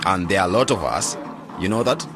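A man's voice: low voice, animated, fairly quick, music in the background. An actor playing an earnest, modest young man who works with a village cooperative in a radio drama. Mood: modest, sincere.

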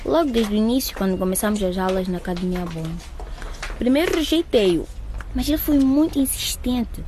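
A naive, caring schoolgirl in a radio soap played by a woman, with a high, youthful voice. She has an anxious streak. There is faint noise behind her. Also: expressive, moderate pace, a little mellow, lightly acted. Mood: regretful. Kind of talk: radio soap opera acting.

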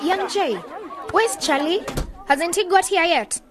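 A woman acting a bossy, focused young aspiring journalist in a radio drama, brisk and scolding. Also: very high voice, very noisy. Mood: impatient.

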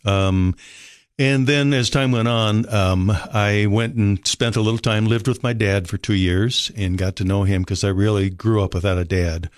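An older man from Minnesota with a Minnesota accent, telling his life story as a guest in an unhurried, polite way. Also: lowish voice, steady tone, no echo, clean studio sound. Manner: unhurried, polite, frequent fillers. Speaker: rescue mission director, guest. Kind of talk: relaxed storytelling interview.

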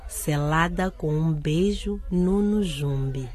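Husky, excitable teenage schoolgirl. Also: low voice, slow pace, faint music behind. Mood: tender reading aloud. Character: teenage schoolgirl, excitable romantic best friend. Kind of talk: radio drama acting.

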